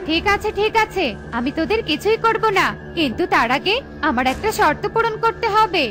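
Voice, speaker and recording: A scolding cartoon witch, voiced by a woman, who speaks in a sharp, very high voice. She orders and threatens rather than asks. Soft children's music runs underneath. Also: animated, a little fast. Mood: commanding. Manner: scolding, commanding. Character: stern but fair old witch, robbed of her wand. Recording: with light background music.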